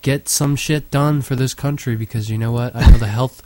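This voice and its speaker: A man's voice: mid-range voice, steady tone, normal speed, darkish tone, no room sound. A man co-hosting a crude casual chat show, talking in a profane, offhand way that often trails off. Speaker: podcast co-host.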